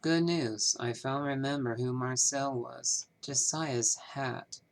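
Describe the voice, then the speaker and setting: Man acting slow-talking, evasive bank manager. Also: medium pitch, steady tone, vocal fry. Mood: musing. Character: evasive, troubled male small-town bank manager. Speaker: amateur voice actor. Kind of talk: scripted game character dialogue, fan performance.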